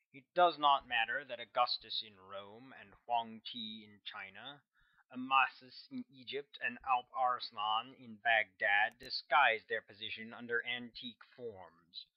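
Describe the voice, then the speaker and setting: A man reading his own novel aloud as scripted audiobook narration, at a middling pitch. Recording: dull, lo-fi sound.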